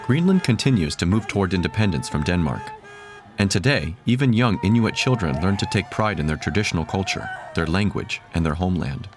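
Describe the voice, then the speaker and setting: A man reading scripted documentary narration in a measured, even tone. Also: deep voice, music in the background.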